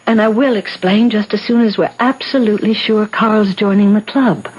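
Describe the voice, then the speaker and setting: An actress playing a gracious but fatalistic, knowing hostess in a 1970s radio drama, poised and cryptic. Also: female voice, medium pitch, fairly even intonation, moderate pace, a little mellow, husky, lo-fi sound, lightly acted. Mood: knowingly ominous. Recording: 1970s radio broadcast recording.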